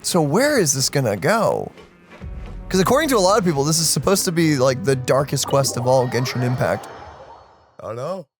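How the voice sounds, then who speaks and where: A man's voice: medium pitch, very animated, moderate pace, faint music behind. A man doing casual let's play commentary, informally speculating and reacting aloud to a game. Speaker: gaming let's player.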